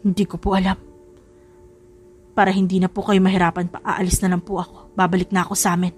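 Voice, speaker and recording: Woman as humble young man, lowered pitch, gentle, resigned, romance audiobook, music underneath. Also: flat delivery, fast, close to an everyday voice. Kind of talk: dramatised romance audiobook dialogue.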